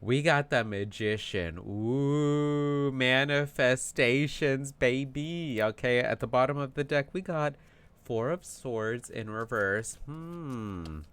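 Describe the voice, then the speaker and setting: A man hosting a casual tarot card reading, talking in an animated, chatty way with plenty of fillers, his voice tired from yelling. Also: mid-range voice, slow, smooth. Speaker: tarot reader.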